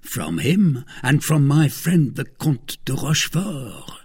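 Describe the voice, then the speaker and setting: A man's voice: medium pitch, big pitch swings, slow pace, darkish tone, breathy edge, voice pushed up, full character voice. A man voicing a pompous, boastful merchant husband in a period novel, speaking with self-satisfied emphasis. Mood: smug.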